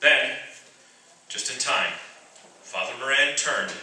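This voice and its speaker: An actor playing an earnest, anxious young American prisoner of war in a radio play. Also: male voice, medium pitch, slow pace, sharp tone, slight background noise. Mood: solemn, tense. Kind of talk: radio theatre narration and dramatic acting. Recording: echoey hall from far off, music behind.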